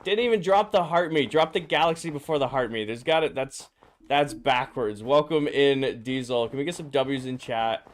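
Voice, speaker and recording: A man streaming a game, his voice slightly hoarse and drowsy, casually greeting viewers and answering chat questions. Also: medium pitch, moderate pace. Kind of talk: casual live-stream chat while playing.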